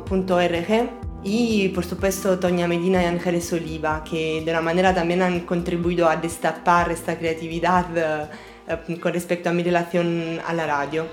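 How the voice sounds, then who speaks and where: A woman speaking with an Italian accent, since Italian is her first language. Her voice sits a little low, and she answers interview questions in a thoughtful, searching way with frequent pauses. Soft music runs faintly underneath. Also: little pitch movement. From Naples, Italy. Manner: thoughtful, pausing to search for words. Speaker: radio artist and journalist.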